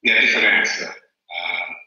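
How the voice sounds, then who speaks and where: A man's voice: low-pitched, steady tone, a little slow, bright, forceful tone, heavy reverb, distant mic, lo-fi sound. A man from England and the Netherlands asking a question from the audience, hesitant and searching for words. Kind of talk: halting spoken question.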